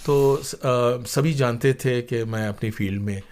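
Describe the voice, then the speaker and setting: An older man recounting things as an interview guest, with hesitant, deliberate phrasing and emphatic points. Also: mid-range voice, darkish tone. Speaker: interview guest, professor and former radio drama producer. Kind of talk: conversational interview, reflective recounting.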